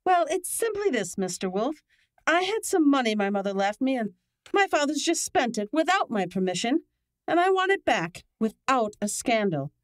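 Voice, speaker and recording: A woman's voice: high voice, big pitch swings, normal speed, no room sound, studio quality. A woman playing an earnest, aggrieved young client in an old-time radio drama, speaking emphatically.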